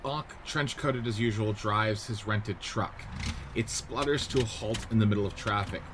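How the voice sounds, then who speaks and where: Male script narrator with clear background noise. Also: lowish voice, normal speed. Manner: descriptive, present-tense scene setting. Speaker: narrator reading stage directions. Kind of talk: scripted radio-play narration.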